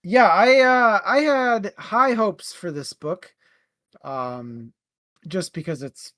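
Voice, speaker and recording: A man co-hosting a book club podcast, giving his opinion casually and haltingly, as if thinking aloud. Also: high voice, expressive, fairly bright tone, no room sound. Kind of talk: casual book review talk.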